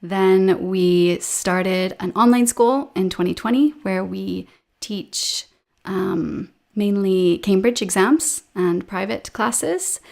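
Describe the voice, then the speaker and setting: A woman with a Canadian accent, a podcast guest talking in an easy, chatty way with plenty of "ums." Her voice sits at an ordinary middle pitch for a woman, and she sounds like she is on a video call. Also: vocal fry. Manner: relaxed, chatty, with frequent fillers. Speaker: podcast guest, English teacher. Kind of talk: casual interview chat. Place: home room with a painting behind her.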